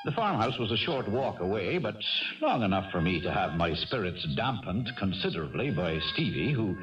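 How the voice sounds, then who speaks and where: Radio drama role: small down-on-his-luck Irish horseman, hot-tempered blarney, lively swooping delivery, vintage muffled sound. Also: fairly low pitch, normal speed, over music. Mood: wry storytelling. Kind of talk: 1970s radio drama acting. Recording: dull, distant vintage radio recording.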